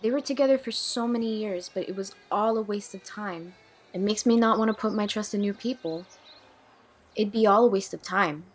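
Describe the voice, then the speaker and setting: A woman's voice: mid-range voice, normal speed, lightly acted. A woman playing a guarded, troubled young woman in an audio drama, speaking in a hesitant, plain way. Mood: guarded, confessional. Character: guarded, troubled young woman named Nicole, awkward and wary. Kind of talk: audio drama dialogue, naturalistic amateur acting.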